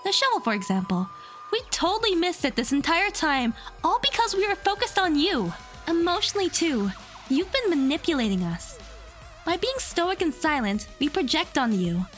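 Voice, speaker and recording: A woman's voice: very high-pitched, very animated, normal speed, faint music behind. A woman playing an earnest young student in an audio drama, reasoning insistently and emphatically. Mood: resolved, deducing. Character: earnest young female student defending the accused.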